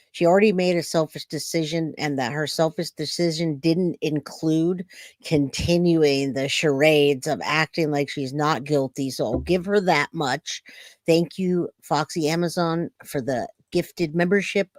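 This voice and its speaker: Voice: medium pitch, no echo. A livestream host chatting casually to viewers and giving informal commentary.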